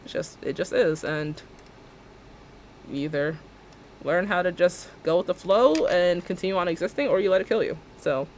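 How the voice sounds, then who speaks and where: A young woman with a deep alto voice, chatting casually and rambling as she streams a building game, laughing often and talking to her viewers. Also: fairly bright tone.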